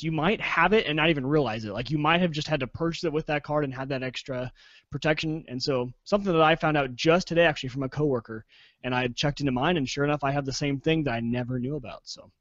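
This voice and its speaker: Fast-talking, higher-pitched American man. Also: a bit bright, no echo, dull audio. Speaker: podcast guest. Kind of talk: casual tech chat. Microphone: podcast microphone, varying distance.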